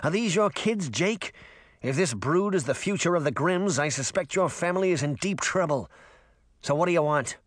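Storybook talking fish, acted by a man: sour, sarcastic, gurgling, lively pitch, dry close sound. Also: normal speed, no echo, lightly acted. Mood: sardonic. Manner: gruff complaining, streetwise insults. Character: grouchy, sarcastic, wisecracking male talking fish.

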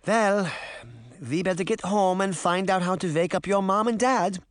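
A man voicing a kindly old grandmother, brisk and encouraging, in a children's storybook reading. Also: high voice, dry recording, studio quality, voice pushed up, lightly acted.

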